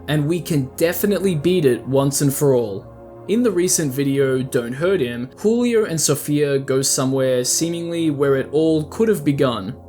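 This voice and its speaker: A man with a slightly high voice delivers scripted video essay narration. He explains things conversationally and addresses the listener directly. Faint music plays underneath him.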